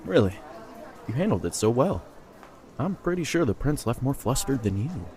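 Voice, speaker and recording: A man playing a kind, earnest young mercenary in an audio drama. He talks in a warm, reassuring way. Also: medium pitch, very animated, normal speed, lightly acted.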